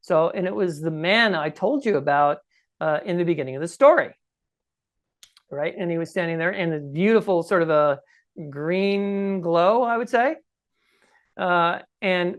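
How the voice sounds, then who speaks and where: A man in his sixties with a scratchy voice, telling his own story conversationally and emphatically as an interview guest. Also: high voice. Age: 60s. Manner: emphatic, often checks in with "okay?" and "right?". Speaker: podcast guest recounting personal experience. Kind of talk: conversational first-person storytelling.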